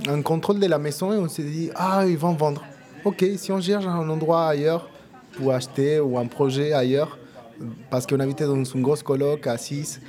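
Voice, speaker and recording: A man's voice: fairly high pitch, mellow. A man from Argentina with an Argentine Spanish accent, a native Spanish speaker, answering informally in a casual interview and often repeating words. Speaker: interviewee, musician and café co-founder.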